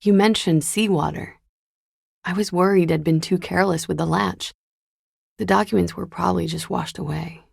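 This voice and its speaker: Coy disco dancer, creaky low voice. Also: female voice, steady tone, moderate pace, dry recording, studio quality, full character voice. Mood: guarded, composed. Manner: wry, coy, understated. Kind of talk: video game voice acting.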